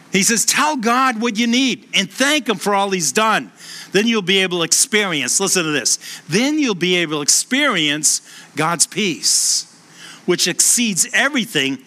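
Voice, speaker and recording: Bright-voiced Boston pastor preaching. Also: male voice. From Boston, USA. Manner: earnest storytelling, slows and lowers voice for emphasis. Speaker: pastor and hospice chaplain. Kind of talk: sermon.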